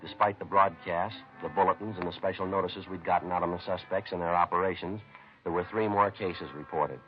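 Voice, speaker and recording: An actor playing a terse, businesslike police sergeant who narrates a 1950s radio crime drama in a deadpan, matter-of-fact way. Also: male voice, low voice, a little fast, natural voice. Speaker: narrator and lead police detective. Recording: dull vintage radio recording with music.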